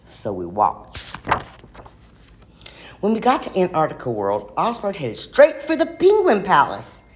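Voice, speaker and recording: A woman reading a children's storybook aloud in an expressive way, slowing down for emphasis. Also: fairly low pitch. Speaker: school staff reader.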